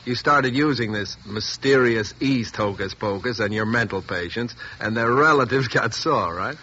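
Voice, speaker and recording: A man's voice: medium pitch, normal speed, sharp tone, dull audio, natural voice. An actor playing a brusque, sarcastic, protective family man in 1940s radio drama style, on a noisy old recording. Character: blunt, skeptical, protective husband and father.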